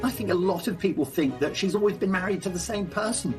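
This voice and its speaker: A man's voice: sits high, quick pace. A man speaking as a royal commentator in an interview. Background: faint music.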